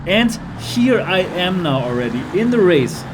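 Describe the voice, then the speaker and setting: A man's voice: high-pitched, big pitch swings, a little slow, noisy background. A man from Germany with a German accent, chatting through a gameplay test as a VR reviewer. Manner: chatty, explanatory, enthusiastic about cars. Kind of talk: casual gameplay commentary.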